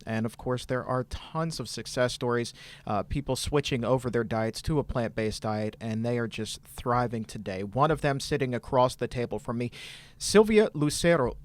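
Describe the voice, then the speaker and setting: A man hosts a podcast at a studio table, speaking in an upbeat, encouraging way as he draws out his guest's story. His voice sits at an ordinary middle pitch. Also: moderate pace. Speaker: podcast host. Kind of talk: interview hosting.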